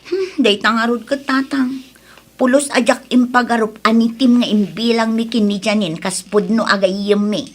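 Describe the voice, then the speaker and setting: A woman's voice: on the high side, fairly even intonation, normal speed, close to an everyday voice. A woman with an Ilocano accent playing an earnest, aggrieved young daughter in a radio drama, plaintive and polite.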